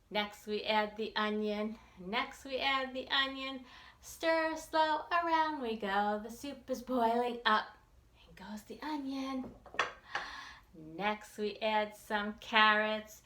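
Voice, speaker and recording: Bright-voiced woman reading slowly to children. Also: a little high. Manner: slow, deliberate, expressive delivery with repetition. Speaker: children's librarian leading a storytime. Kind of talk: sing-song read-aloud and chanting for young children. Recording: roomy, echoey sound.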